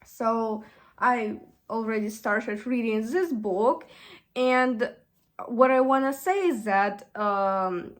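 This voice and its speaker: A young woman with a Russian accent, chatting casually in a vlog and often pausing to search for English words. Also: on the high side. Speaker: vlogger. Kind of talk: casual chat.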